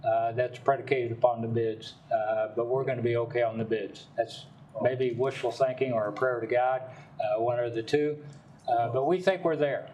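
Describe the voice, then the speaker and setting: Folksy older man speaking loudly. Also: mid-range voice, steady tone, normal speed, recorded from a distance, slight background noise, low-quality recording. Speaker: developer's representative. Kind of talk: public testimony at a council meeting.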